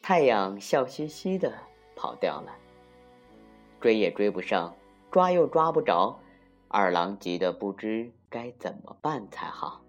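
A woman with a very deep voice tells a children's story slowly and expressively, her pitch rising and falling a lot. She sounds a little muffled, and music plays along. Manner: animated, deliberate, dramatic emphasis. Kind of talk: expressive children's folktale narration.

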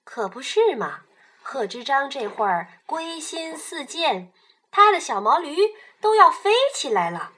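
A woman narrating a children's radio play as a storyteller, in an animated style. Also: high-pitched, unhurried.

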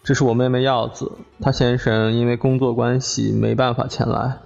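A man's voice: mid-range voice, level tone, moderate pace, vocal fry, a little mellow, highs cut off, close to an everyday voice. Man acting courteous middle-aged host, music behind. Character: courteous, solemn middle-aged brother hosting family guests. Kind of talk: Chinese radio drama acting.

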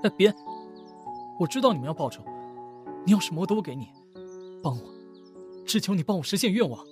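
A man acting an earnest elder brother, animated over loud music. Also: sits high, a little fast. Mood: desperate pleading. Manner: pleading, stammering when flustered. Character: earnest, desperate protective elder brother hiding his true nature. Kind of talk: Chinese audio drama acting.

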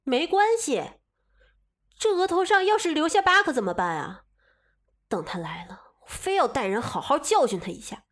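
A woman playing a protective, indignant young gentlewoman in a Chinese period radio drama, questioning forcefully. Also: high voice, big pitch swings, normal speed. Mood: concerned, indignant.

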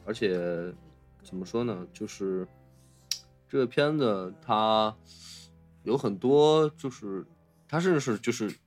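A man's voice: fairly low pitch, slow pace, pauses often, faint music behind. A man co-hosting a podcast, chatting casually about film and searching for his words as he goes. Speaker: podcast co-host.

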